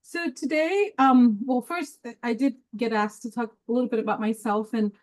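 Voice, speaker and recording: A woman's voice: a little high, normal speed. Chatty Peruvian-born woman presenting online. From Peru. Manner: warm, chatty, with laughs and filler words. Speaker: webinar presenter. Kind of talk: casual webinar presentation. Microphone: video call.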